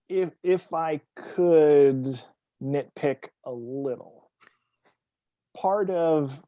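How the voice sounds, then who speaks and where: A man's voice: medium pitch, no echo, dull audio. A man from New England, a guest author, telling wry stories in casual conversation. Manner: relaxed, wry storyteller.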